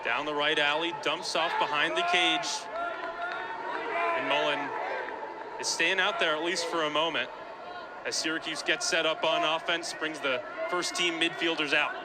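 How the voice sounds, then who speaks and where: A man's voice: fairly high pitch, steady tone, moderate pace, pauses often, a loud crowd, very noisy. A man working as a sports reporter and play-by-play announcer, delivering scripted reports and game commentary.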